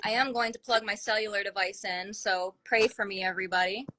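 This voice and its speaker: A woman's voice: medium pitch, fairly even intonation, fairly quick, sharp tone. A woman hosting a casual livestream interview, chatting with her guest in an effusive, encouraging way. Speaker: talk show host interviewing a guest. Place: livestream video call from a phone.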